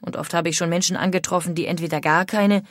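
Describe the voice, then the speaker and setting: A woman's voice: lowish voice, steady tone, fast, dry recording, studio quality, natural voice. An actress playing an outspoken, critical emigrant woman of the 1800s, reading her letters home in a formal way. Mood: scornful. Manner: formal, opinionated. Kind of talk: dramatic reading of historical letters.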